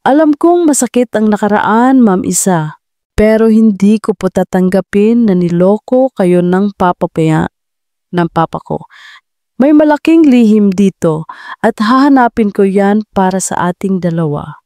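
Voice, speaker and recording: A woman narrator doing a respectful young man who pleads in a soft, earnest voice. His delivery is gentle and mellow. The recording is dry and close. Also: medium pitch, expressive, unhurried, dry recording, close to an everyday voice. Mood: determined.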